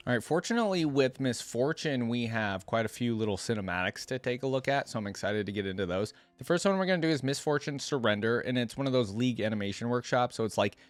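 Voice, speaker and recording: A man's voice: medium pitch, lively intonation, fast talker, hardly any pauses, no room sound. A man giving casual reaction commentary as the host of a video.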